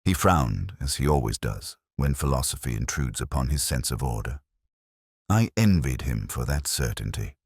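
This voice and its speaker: Casting: male actor as solemn inspector narrating his case, extremely low voice, wide expressive range, precise phrasing, dry close sound. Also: husky, no echo, exaggerated voice. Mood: pensive, melancholy. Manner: measured, solemn, reflective, precisely worded. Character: brooding, literate older male English police inspector narrating a case. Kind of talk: scripted first-person detective audio drama narration.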